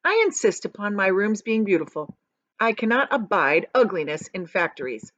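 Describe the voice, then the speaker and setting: An eccentric, excitable male chocolate maker from a storybook, played by a woman reader. He boasts and exclaims with emphasis, his pitch leaping about freely. The sound is dry and a little muffled. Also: normal speed, no room sound. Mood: proud, cautioning. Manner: emphatic, exclamatory, repeats phrases for effect.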